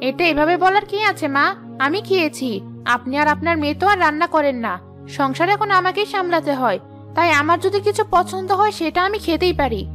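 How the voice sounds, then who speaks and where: A woman voicing a resentful, sharp-tongued young daughter-in-law in a cartoon moral story, complaining and defiant. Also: extremely high pitch, brisk, faint music behind. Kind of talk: animated moral story voice acting.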